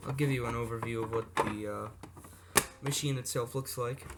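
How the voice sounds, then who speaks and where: A man's voice: medium pitch, steady tone, normal speed. A man giving a casual, unscripted show-and-tell as a hobbyist presenter, rambling off the cuff with asides and self-corrections. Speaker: hobbyist video presenter showing vintage electronics. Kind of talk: casual unscripted product demonstration. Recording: noisy amateur recording with clicks.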